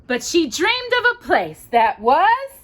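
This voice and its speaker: A woman telling a children's story in an expressive, dramatic manner, drawing out key words. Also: very high-pitched, slow. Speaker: storyteller, mother reading to her daughter. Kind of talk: children's storytelling with bits of song.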